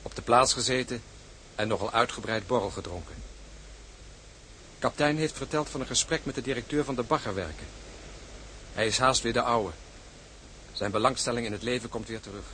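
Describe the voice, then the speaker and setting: A man's voice: medium pitch, brisk, pauses often, pushed and bright, slight background noise, close to an everyday voice, rough recording. An actor playing a seaman reading his diary in a radio drama, in terse, clipped phrases. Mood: reflective. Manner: terse, telegraphic.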